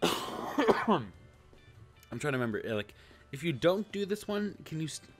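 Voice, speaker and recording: A man's voice: fairly high pitch, sing-song, a little slow, soft background music. A man giving casual, rambling let's play commentary and reading a visual novel aloud, easily amused. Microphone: handheld microphone on a cord.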